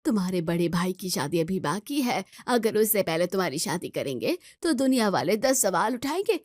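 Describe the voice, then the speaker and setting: A woman's voice: medium pitch, sing-song, moderate pace, darkish tone, dry recording, clean studio sound. A woman voicing a strict but caring middle-aged mother in an audio story, scolding and questioning.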